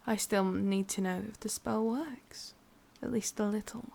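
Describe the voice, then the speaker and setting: A woman playing a sadistic, possessive witch with an English accent in a whispered ASMR roleplay, breathy, teasing and menacingly sweet. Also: mid-range voice, fairly even intonation, unhurried, darkish tone. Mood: musing, menacing.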